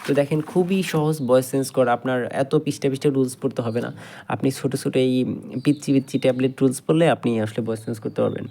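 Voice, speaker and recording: A male teacher with a Bangladeshi accent and a dark, mellow voice at a medium pitch. He explains grammar step by step, going back over points and posing questions that he answers himself. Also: racing, gravelly. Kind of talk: step-by-step grammar lesson at a board.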